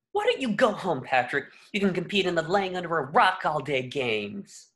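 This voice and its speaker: A woman voicing an earnest, excitable young male sponge fry cook in a cartoon table reading, eager and exclamatory. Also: fairly low pitch, unhurried. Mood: defensive. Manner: eager, exclamatory, indignant when teased.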